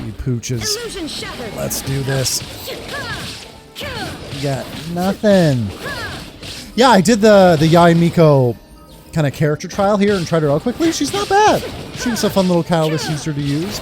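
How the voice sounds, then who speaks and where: A man's voice: fairly high pitch, expressive, normal speed. Casual male gamer commentating over music. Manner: informal, muttering asides, mild swearing. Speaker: gaming video host. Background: game music.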